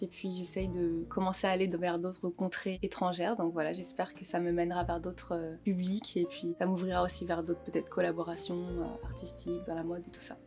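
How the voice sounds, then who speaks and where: A woman in her twenties, a singer-songwriter, answering interview questions in a relaxed, thoughtful way with frequent hesitations. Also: fairly low pitch. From Guadeloupe, born in Paris. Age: 20s. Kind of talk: casual interview answers to camera.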